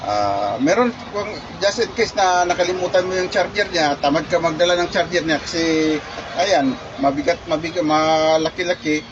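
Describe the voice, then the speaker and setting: A man with a slightly high voice chats casually through a product review, repeating himself and restarting sentences as he goes. The sound is muffled and lo-fi, with a light hum of background noise. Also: moderate pace. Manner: chatty, repeats himself, many restarts and fillers. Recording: muffled lo-fi recording.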